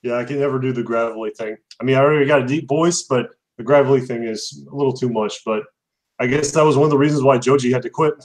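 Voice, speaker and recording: A man's voice: mid-range voice, quick pace. Young guy hosting, rambles, lots of uhs and laughs, average voice. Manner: rambling, filler-heavy, laughs often. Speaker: host. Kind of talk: casual chat.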